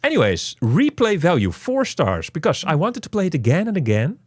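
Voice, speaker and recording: A man's voice: fairly high pitch, wildly swooping pitch, brisk, no room sound. Guy doing a chatty game review, rambles a lot, says you know constantly, really dry sound. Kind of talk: casual conversational game review.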